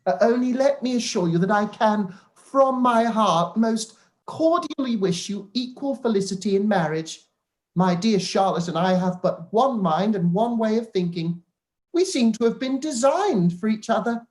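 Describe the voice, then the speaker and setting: A woman's voice: medium pitch, lively intonation, moderate pace, a little mellow, close to an everyday voice. A woman playing a pompous, fawning male clergyman in a live-read radio play, talking in an effusive way.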